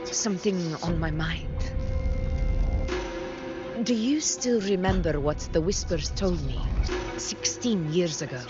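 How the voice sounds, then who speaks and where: An actress voicing a proud, battle-hardened woman champion in a video game, solemn, measured and deliberate. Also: fairly low pitch. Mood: brooding, solemn. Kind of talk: dramatic video game voice acting. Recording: dull sound under loud music.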